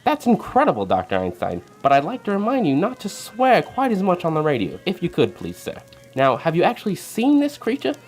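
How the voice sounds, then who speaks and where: A man playing a theatrical, excitable 1940s radio newscaster with a formal, melodramatic, breathless delivery. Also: high-pitched, a little fast, faint music behind. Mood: formal, concerned. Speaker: radio news announcer.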